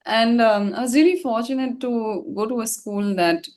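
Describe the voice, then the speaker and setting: A woman's voice: fairly high pitch, moderate pace, darkish tone. A woman from Gangtok, India, a singer chatting casually as an interview guest, informal and reflective in a rambling way.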